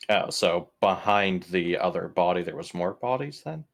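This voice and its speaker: A man's voice: low-pitched, lively intonation, normal speed, creaky. A man playing a tabletop roleplaying game, chatting casually with the group.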